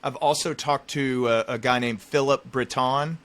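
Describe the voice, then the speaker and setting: A man's voice: medium pitch, steady tone, moderate pace, a bit bright. A man in his thirties hosting a podcast in a casual, conversational style. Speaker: podcast host.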